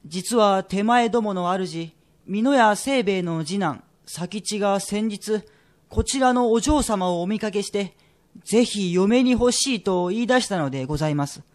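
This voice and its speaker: High-voiced, humble greying head clerk played by a man. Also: normal speed, close to an everyday voice. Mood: humble, formal. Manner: humble, formal, deferential. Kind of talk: period radio drama acting.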